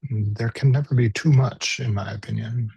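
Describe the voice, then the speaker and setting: A man leading a guided body scan meditation as a teacher, speaking gently and unhurriedly with pauses. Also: mid-range voice, fairly even intonation, vocal fry, husky, no room sound, rough recording.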